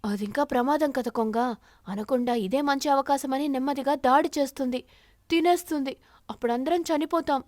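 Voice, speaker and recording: A woman's voice: high-pitched, normal speed, a little mellow, no echo, studio quality, natural voice. A woman voicing a firm, sensible sparrow who leads a bird flock in a cartoon, earnest and instructing. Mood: worried.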